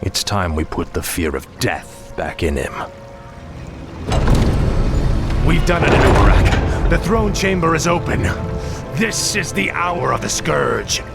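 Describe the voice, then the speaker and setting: An actor playing a ruthless young fallen prince turned death knight in a fantasy game, grim, resolute and theatrical. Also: male voice, mid-range voice, sing-song, unhurried, gravelly. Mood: triumphant. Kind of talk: fantasy video game acting. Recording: music behind the voice.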